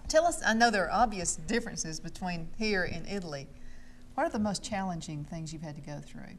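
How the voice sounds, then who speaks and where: A woman's voice: on the low side, lively intonation, a little fast, slight background noise. A woman with a Southern US accent hosting a TV chat show, steering the questions. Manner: friendly, interviewer-led. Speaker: host. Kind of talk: casual studio chat. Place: TV studio set. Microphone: lapel.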